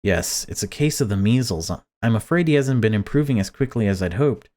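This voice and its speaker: A man's voice: lowish voice, expressive, a little fast, warm tone, natural voice. A man voicing a cruel, controlling mother who puts on politeness, in a horror visual novel. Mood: falsely sweet, weary. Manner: polite excuses and curt commands. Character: cruel controlling mother, falsely sweet to outsiders.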